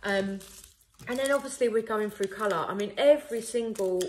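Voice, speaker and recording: A woman's voice: mid-range voice, moderate pace, a little mellow, a little hiss or hum, low-quality recording. A woman in her thirties, a wig salon owner, chatting casually and upbeat to a phone camera in her salon. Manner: chatty and upbeat, frequent ums. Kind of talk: casual how-to video. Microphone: phone camera at arm's length.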